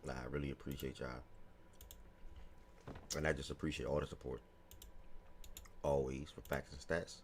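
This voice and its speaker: Deep-voiced man hosting a casual live sports show. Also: moderate pace, pauses often, a little hiss or hum. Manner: chatty, greets chat viewers by name, relaxed and rambling. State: slightly hoarse from yelling the day before.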